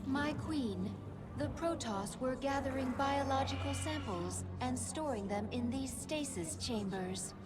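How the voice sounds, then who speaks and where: A dutiful alien advisor in a video game, reporting to her queen. Her voice is high, with formal, measured and deferential delivery. Music plays clearly behind her. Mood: dutiful, informative.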